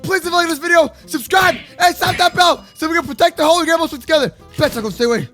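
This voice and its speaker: A man improvising an excitable, exaggerated Minecraft roleplay as host of a kids' gaming channel. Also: very high-pitched, quick pace. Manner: excitable, exaggerated playful delivery.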